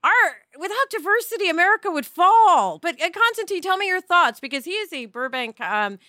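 A woman's voice: extremely high pitch, big pitch swings, fairly quick, pushed and bright, no room sound, studio quality. A woman hosting a casual podcast chat, effusive and emphatic, often breaking off into asides. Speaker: podcast host.

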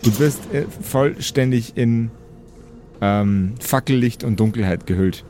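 A man's voice: mid-range voice, a little slow, warm tone, faint music behind. A man narrating an improvised tabletop game as its game master.

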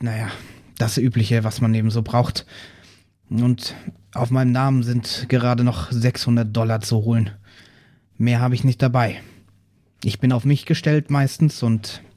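A man introducing his role-play character in a podcast, relaxed and hesitant. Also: mid-range voice. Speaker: player.

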